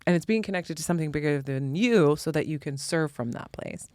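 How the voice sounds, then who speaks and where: A woman's voice: low voice, expressive, fairly quick, darkish tone, no echo, studio quality. An American woman from the Midwest speaking as a podcast guest, reflective and earnest with a playful, casual streak. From Indiana, US Midwest. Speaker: podcast guest, spiritual teacher. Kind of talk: reflective conversational interview.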